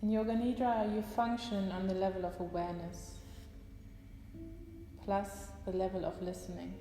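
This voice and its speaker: A woman guiding a yoga nidra relaxation session, giving slow, calm instructions with long pauses. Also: mid-range voice, soft background music. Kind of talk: guided relaxation meditation. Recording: roomy echo with long reverb, faint hiss.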